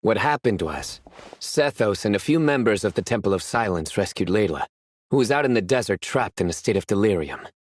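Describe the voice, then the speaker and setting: A man playing a stern, reserved young lawman in a video game, measured and deadpan. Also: lowish voice, a little hiss or hum, close to an everyday voice. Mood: stoic, grave.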